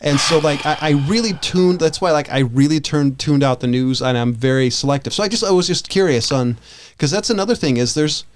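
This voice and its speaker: A man co-hosting a casual podcast, speaking in a halting but persistent, questioning way. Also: fairly high pitch. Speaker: podcast co-host. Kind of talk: casual panel chat.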